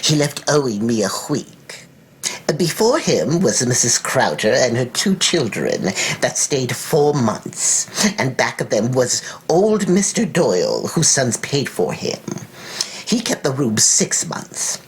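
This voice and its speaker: A woman's voice: extremely low pitch, very animated, slow pace, husky, exaggerated voice, rough recording. A woman voicing gossipy, deferential housekeepers with a folksy manner in a dramatized story reading. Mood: matter-of-fact. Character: Irish landlady housekeepers, gossiping, businesslike, flattering older women.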